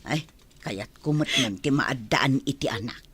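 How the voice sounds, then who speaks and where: A woman's voice: extremely low pitch, measured pace, crowd noise. A woman playing a blunt, scolding servant in a radio drama, grumbling like an older sister. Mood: exasperated.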